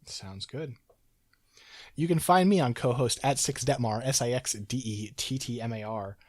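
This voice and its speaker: A man's voice: mid-range voice, moderate pace, a little mellow, no room sound, clean studio sound. A man hosting a podcast in casual chat, sick with a sore throat and losing his voice. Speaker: podcast host.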